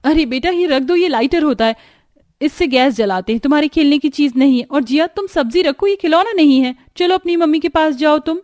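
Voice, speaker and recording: Woman playing overworked young daughter-in-law, cartoon story, high pitch, complaining, emphatic, dry close sound. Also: brisk, darkish tone, no echo, lightly acted. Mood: scolding. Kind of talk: Hindi cartoon story acting.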